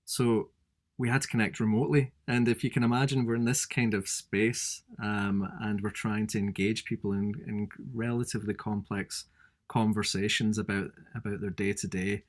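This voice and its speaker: Low, even-voiced man answering thoughtfully. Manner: thoughtful, halting, with frequent restarts. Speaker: design researcher, panel guest. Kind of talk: unscripted panel answer.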